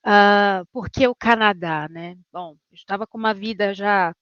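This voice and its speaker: A woman's voice: mid-range voice, moderate pace, dry recording. Muffled recording of a Brazilian woman. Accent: Brazilian Portuguese. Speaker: guest, engineer. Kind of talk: informal personal account. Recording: dull, lo-fi audio.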